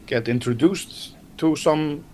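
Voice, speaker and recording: A man from Iceland, Icelandic his first language, speaking English in a casual interview, soft-spoken and hesitant, often repeating words. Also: fairly high pitch, takes it slowly, slight background noise. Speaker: band member, interview guest.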